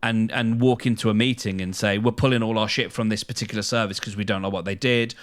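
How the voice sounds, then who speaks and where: A man co-hosting a podcast, giving opinions casually, halting and repeating phrases for emphasis. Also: fairly low pitch, steady tone. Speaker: podcast co-host.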